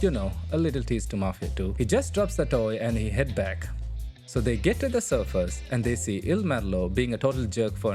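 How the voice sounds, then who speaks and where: A man whose first language is not English, casually retelling a game's story as a video narrator. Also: mid-range voice. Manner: chatty, informal retelling. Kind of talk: casual game story recap. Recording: voice-over with loud game music underneath.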